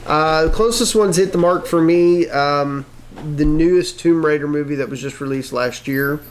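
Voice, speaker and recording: Higher-pitched man in casual discussion, some noise. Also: moderate pace. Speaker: talk show co-host. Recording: noticeable background noise.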